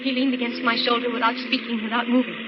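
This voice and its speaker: A woman's voice: on the high side, little pitch movement, fast talker, close to an everyday voice. An actress playing an anxious, pleading woman in an old radio thriller, hesitant and melodramatic. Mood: hushed dread. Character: anxious, frightened, pleading female stranded passenger. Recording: very noisy, muffled vintage radio recording.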